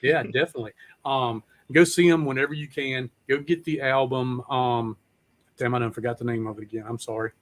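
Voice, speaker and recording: A man's voice: mid-range voice, fairly even intonation, fairly quick. A man hosting a casual, rambling chat in a folksy, laid-back, profane way. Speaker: podcast host.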